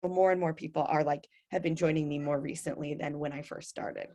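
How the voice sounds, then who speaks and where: A woman fitness coach with a raspy voice, talking casually on a webinar with lots of fillers. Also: low voice, fairly even intonation, brisk, dark tone. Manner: chatty, frequent fillers.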